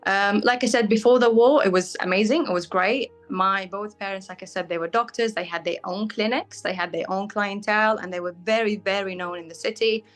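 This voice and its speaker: A young woman from Syria with a British accent and Arabic as her first language, frankly answering interview questions and often pausing with "um" as she looks for words. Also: mid-range voice, soft background music. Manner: frank, fills pauses with "um", searches for words. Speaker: guest, bridal fashion designer.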